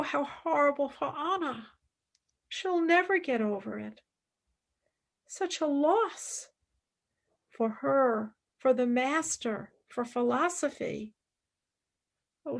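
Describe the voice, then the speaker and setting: A woman playing an earnest, principled young philosopher and wartime nurse in a radio play, with measured, emphatic delivery. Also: high-pitched, creaky, full character voice. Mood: shocked, grieving. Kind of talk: dramatic radio play acting.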